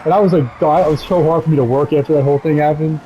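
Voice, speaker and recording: Fast-talking mellow man rambling casually. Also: a little high, fairly even intonation, muffled recording, a little hiss or hum. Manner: rambling, profane; amused, laughs while telling stories. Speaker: gameplay video creator telling stories. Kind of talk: casual storytelling over gameplay.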